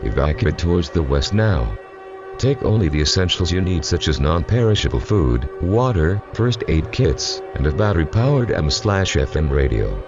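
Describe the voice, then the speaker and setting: A man's voice: sits low, very animated, moderate pace, music in the background. A man reading scripted emergency warnings as a formal, mechanical announcer. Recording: dull lo-fi alert audio.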